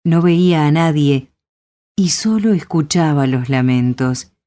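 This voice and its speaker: A woman's voice: deep voice, unhurried, dark tone. A woman with an Argentine accent narrating a story for a radio play.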